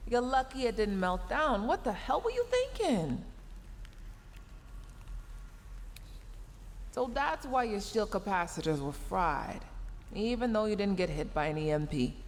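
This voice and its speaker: A woman's voice: a little high, in a large hall, slight background noise. A woman voicing a tsundere mech engineer in a sci-fi audio roleplay, sarcastic and exasperated, scolding while secretly caring. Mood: alarmed, angry. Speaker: roleplay voice performer.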